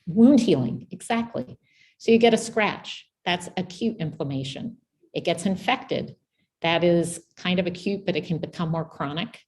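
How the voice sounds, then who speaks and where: Woman, quiet voice, middle-aged, lowish pitch, friendly lecture. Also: moderate pace. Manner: soft-spoken, friendly, informal with fillers. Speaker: guest speaker. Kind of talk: public science talk.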